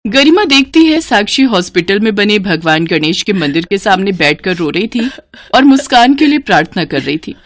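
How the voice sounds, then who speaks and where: A woman's voice: medium pitch, very animated, normal speed, no echo, clean studio sound. A woman reading a scripted story as its narrator.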